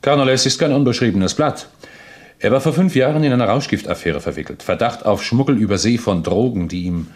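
A man's voice: medium pitch, animated, brisk, lightly acted. A man acting a keen, persistent junior detective in a crime radio play, methodically and insistently laying out his reasoning.

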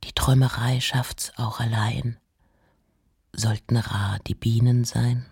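A woman reciting poetry in a measured, hushed and deliberate way, line by line. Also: rumbling low, steady tone. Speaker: poetry reader.

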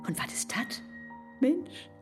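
A woman's voice: takes it slowly, in a whisper, music in the background, close to an everyday voice. An actress with a northern German accent playing an outspoken, mocking woman friend in a verse radio play, emphatic and teasing. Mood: curious, conspiratorial. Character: brash, shrewd, outspoken female confidante, fearless and mocking.

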